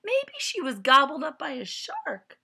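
A woman voicing excitable young schoolchildren in a storybook, exclaiming eagerly. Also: high-pitched, unhurried, vocal fry, voice pushed up, full character voice. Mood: eager guessing.

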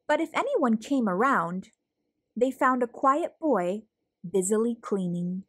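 A woman reading a children's story aloud with expressive, deliberate phrasing. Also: on the high side. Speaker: storybook reader, host. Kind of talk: children's read-aloud narration.

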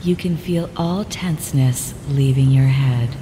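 A woman with a very deep voice talks the listener through a guided relaxation, calm and measured, at an unhurried crawl. Clear ocean surf washes behind her, and added reverb trails her words. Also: background noise. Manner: calm, measured, repetitive instructions. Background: ocean waves.

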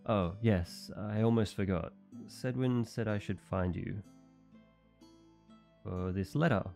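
A man voicing a loyal, dim-witted young knight in an audio roleplay, earnest and naive, over soft music. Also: lowish voice, slow pace, gravelly. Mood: cheerful. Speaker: voice actor.

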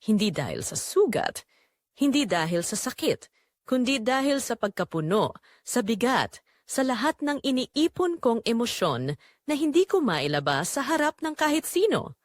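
A woman reading a scripted first-person dramatic story in an emotive, measured storytelling manner. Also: medium pitch, dry recording, studio quality. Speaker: narrator.

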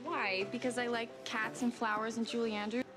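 A young American woman playing an earnest, principled high school girl, sweet but firm, in naturalistic TV drama dialogue. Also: level tone, brisk, music in the background, lightly acted. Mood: eager. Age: young adult. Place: TV drama set, indoors.

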